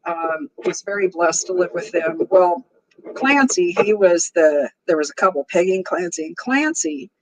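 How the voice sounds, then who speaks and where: A woman's voice: lowish voice, animated, moderate pace, low-quality recording. Chatty woman in her sixties with a strong voice. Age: 60s. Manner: chatty and warm, laughs often. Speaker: livestream host. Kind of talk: casual livestream chat.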